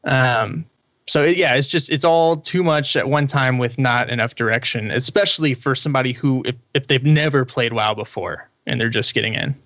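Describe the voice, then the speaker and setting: A man's voice: medium pitch, normal speed, fairly bright tone, dry recording. A man talking casually as a panelist in a gaming discussion. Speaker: podcast panelist.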